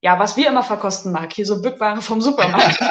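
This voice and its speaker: A woman co-hosting a casual livestream tasting, lively and chatty. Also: medium pitch, normal speed, roomy sound, noisy background. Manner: lively, chatty, laughs easily. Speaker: co-host.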